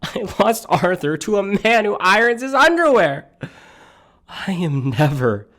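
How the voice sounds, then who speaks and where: A man's voice: sits high, big pitch swings, unhurried, fairly bright tone, exaggerated voice. Guy playing a needy catty dumped man, campy comic monologue, rambly and exasperated, voice all over the place. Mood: indignant, distraught. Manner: rambling, incredulous, campy complaining.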